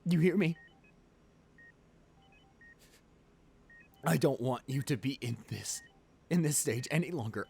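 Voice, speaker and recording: A man playing a wealthy, devoted client pleading at his comatose lover's bedside in an intimate ASMR roleplay, emotional and halting. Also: mid-range voice, a little mellow, husky. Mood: desperate pleading. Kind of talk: ASMR boyfriend roleplay, dramatic monologue.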